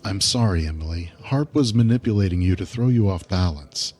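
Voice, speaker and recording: A man's voice: low voice, lively intonation, fairly quick, darkish tone. A man playing a solemn, protective, earnest ally in an audio drama.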